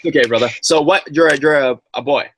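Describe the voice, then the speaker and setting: A man's voice: fairly high pitch, fairly quick, crowd noise. A young adult man who reads viewer horror stories aloud and then chats loosely with his live-stream audience, full of slang. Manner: slangy, loose and chatty, calls listeners bro and dude.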